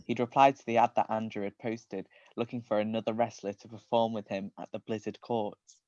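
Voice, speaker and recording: A man reads comic fan fiction aloud in a medium-pitched voice. The sound is dry and slightly muffled, like a lo-fi online stream. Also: flat delivery, a little fast, darkish tone, no room sound. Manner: often breaks into giggles. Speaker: reader. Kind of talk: reading comedic fan fiction aloud on a livestream.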